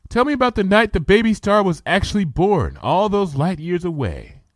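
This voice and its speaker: A man's voice: sits high, very animated, normal speed, no room sound, clean studio sound, voice pushed up. A man voicing an earnest, bookish young female unicorn who talks eagerly for a storybook reading.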